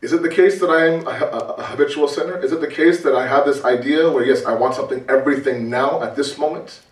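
A man giving a conversational religious talk, animated and full of rhetorical questions and repetition. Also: medium pitch, fast. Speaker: preacher. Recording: roomy, distant mic.

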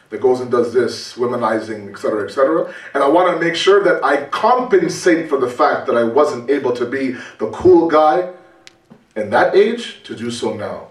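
A male preacher talks to his listeners in an easy, conversational way. He asks rhetorical questions and repeats words for emphasis, and his pitch rises and falls a lot. The mic sits some way off, and the room around him rings. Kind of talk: religious talk. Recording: roomy, distant mic.

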